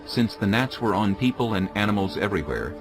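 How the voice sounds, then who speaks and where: Male narrator, very low voice, solemn, measured, scripture storytelling, music playing clearly behind. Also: lively intonation.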